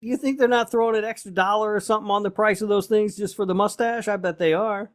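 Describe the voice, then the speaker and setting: A man's voice: high-pitched, fairly even intonation, fast talker. A middle-aged man co-hosting a podcast, chatting casually. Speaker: podcast co-host. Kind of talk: casual chat.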